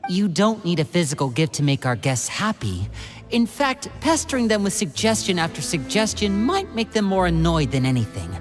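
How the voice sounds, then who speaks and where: A woman's voice: fairly low pitch, sing-song, moderate pace. A woman voicing a composed, playful teenage boy in a video game, polite and protective. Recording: game music behind.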